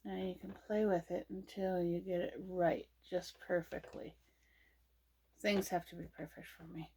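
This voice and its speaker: A woman hosting a casual crochet tutorial. She sounds sniffly from a cold and chats slowly, with lots of "um" and "uh" between steps. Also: on the low side, steady tone, mellow, a little hiss or hum. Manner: slow, chatty, with many fillers and pauses. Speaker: crochet channel host.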